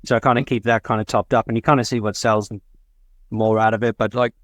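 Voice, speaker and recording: A man's voice: on the low side, little pitch movement, fast, no room sound. A man co-hosting a podcast, chatting casually and rambling with lots of fillers. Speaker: podcast co-host. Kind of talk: casual chat.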